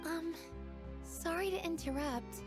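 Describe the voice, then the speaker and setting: A woman's voice: extremely high pitch, measured pace, music in the background. A woman playing a polite, hesitant, deferential young waitress in a video game. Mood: apologetic.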